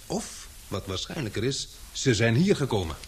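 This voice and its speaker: A man acting in a Dutch radio drama as a sharp, suspicious investigator, speaking deliberately with hesitant pauses. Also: mid-range voice, very animated, a little hiss or hum. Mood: grave, reasoning.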